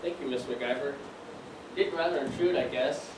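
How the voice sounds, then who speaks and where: Woman playing low-pitched, earnest young gentleman, roomy stage. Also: normal speed. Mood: sheepish. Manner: pleading, polite. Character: earnest, stubborn, polite young gentleman stuck without trousers. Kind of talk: amateur stage play acting. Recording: roomy stage recording, distant, noisy.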